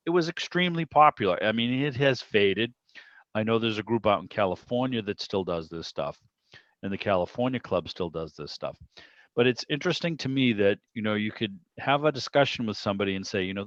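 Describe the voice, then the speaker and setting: A man's voice: fairly low pitch, expressive, a little fast, fairly bright tone. A man chatting casually as an interviewer, telling anecdotes in a rambling way over a video call. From Boston area, United States. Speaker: interviewer and club host. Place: indoor room on a video call. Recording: roomy, dull lo-fi call audio.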